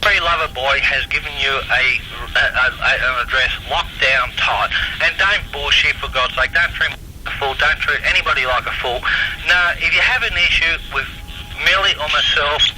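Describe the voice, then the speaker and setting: A man's voice: on the high side, normal speed, pushed and bright. An Australian man in a confrontational, sweary, repetitive and taunting rant over two-way radio. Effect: two-way radio transmission. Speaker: CB radio operator. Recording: faintly noisy UHF CB radio.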